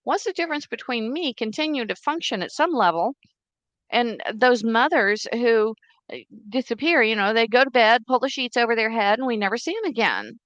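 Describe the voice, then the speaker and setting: A middle-aged woman whose first language is Czech tells her story in an earnest, steady way. Her voice sits somewhat high. The recording is dry and close, with no room sound. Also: normal speed, dry recording, highs cut off. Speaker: guest. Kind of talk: interview storytelling.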